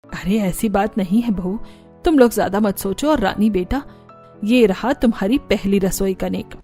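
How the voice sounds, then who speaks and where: A woman's voice: mid-range voice, moderate pace, warm tone, faint music behind, natural voice. A woman voicing a firm, fair-minded older mother-in-law in a cartoon, scolding yet reasonable. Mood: approving, calm.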